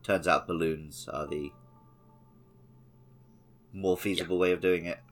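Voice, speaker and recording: A man's voice: low voice, steady tone, a little slow, soft background music. A man co-hosting a podcast, chatting casually about a video game with an easygoing manner and jokey asides. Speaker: podcast co-host.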